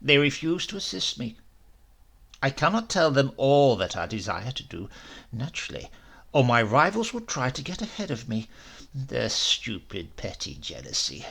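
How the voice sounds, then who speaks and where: A man voicing a grim, obsessed professor of crank science in a horror audiobook, grandiose, intense and deliberate. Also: mid-range voice, huge pitch swings, gravelly, fairly bright tone, slightly rough, exaggerated voice. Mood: bitter.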